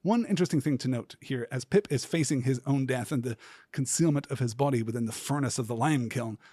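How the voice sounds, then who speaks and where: A man hosting a podcast, delivering scripted literary commentary in a measured, articulate way with deliberate, emphatic phrasing. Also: medium pitch, mellow, husky, no echo, studio quality. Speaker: podcast host.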